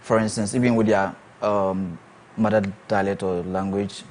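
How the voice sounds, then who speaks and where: A man guesting on a talk show, relaxed and laughing easily as he explains with examples. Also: lowish voice, normal speed, slight background noise. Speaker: guest content creator. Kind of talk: casual interview chat. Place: TV studio.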